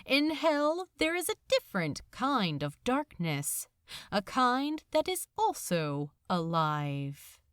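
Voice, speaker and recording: Female audiobook narrator: unhurried and measured, dramatic, with widely swooping intonation, recorded close and dry. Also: fairly high pitch, sing-song, clean tone, no room sound. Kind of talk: scripted fiction narration.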